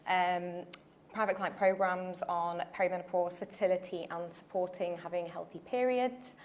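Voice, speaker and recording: A woman introducing herself on a panel in a brisk, matter-of-fact way. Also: lowish voice, a little hiss or hum. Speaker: panelist, nutritionist.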